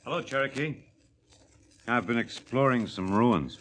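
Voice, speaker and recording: An actor playing an obsessed renegade astronaut, quiet, deliberate and intense, in 1950s radio drama style. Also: male voice, medium pitch, expressive. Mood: brooding. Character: brooding, obsessed renegade American astronaut turned killer.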